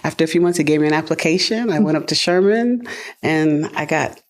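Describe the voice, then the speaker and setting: A middle-aged woman who grew up in New York, a chiropractic professor telling her story as an interview guest, animated and quick to laugh. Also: low voice. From New York, USA. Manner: animated storyteller, laughs often, acts out quotes. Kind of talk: conversational interview.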